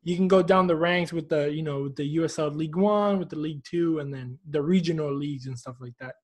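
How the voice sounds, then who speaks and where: A man's voice: a little high, fast talker, a little mellow. A man from Houston hosting a casual, informal soccer interview show, chatting in friendly slang. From Houston, United States.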